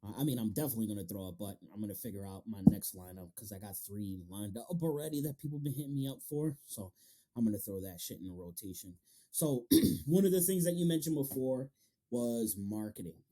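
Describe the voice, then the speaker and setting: A man's voice: fairly low pitch, animated, fairly quick, dark tone. A man hosting a casual interview, talking in loose slang and swearing freely. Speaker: podcast interviewer and manga reviewer.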